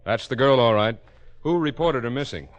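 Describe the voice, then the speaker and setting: A man's voice: medium pitch, normal speed, muffled recording, close to an everyday voice. An actor playing a terse, commanding male police lieutenant in an old radio crime drama. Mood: grim, authoritative. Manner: terse, matter-of-fact, authoritative. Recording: faintly noisy old radio recording.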